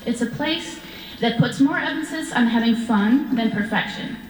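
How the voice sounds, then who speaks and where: A woman's voice: a little high, normal speed. A woman giving a prepared speech as the founder of a dance studio. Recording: echoey hall with long reverb.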